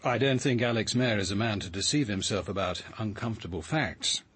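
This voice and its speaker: An actor playing a grave, measured male detective in a radio drama, speaking in an understated, literary way. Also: on the low side, animated, fairly bright tone, breathy edge. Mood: dry, reserved. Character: grave, measured male detective narrating his own ordeal. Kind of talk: first-person radio drama narration and dialogue.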